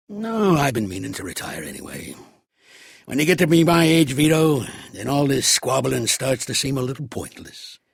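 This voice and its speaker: Raspy old American mob boss. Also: male voice, medium pitch, no echo, clean studio sound, full character voice. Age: older. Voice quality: gravelly, raspy. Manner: tough street slang, blunt. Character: shrewd, world-weary old American mafia boss, gruff but fatherly. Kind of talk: video game voice acting.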